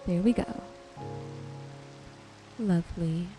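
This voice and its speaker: A woman narrating her gameplay in an ASMR style, with a gentle, soothing manner. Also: lowish voice, rough recording. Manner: gentle, unhurried, soothing narration of own actions. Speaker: host of a gaming channel. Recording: music behind the voice. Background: music.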